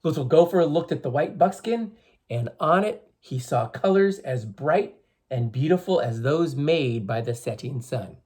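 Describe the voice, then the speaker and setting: Husky mid-pitched voice reading a picture book to little kids, explains stuff as it goes. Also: lively intonation, measured pace, darkish tone. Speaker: storybook reader for schoolchildren. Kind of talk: children's read-aloud, explanatory and expressive.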